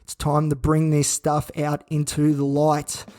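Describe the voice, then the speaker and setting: A man hosting a solo podcast from a hospital room, his voice croaky and worn out, talking flatly and wearily with rambling restarts. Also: fairly high pitch, unhurried. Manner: flat, weary, rambling with restarts. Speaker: podcast host. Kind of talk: candid solo podcast monologue. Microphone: own recording gear.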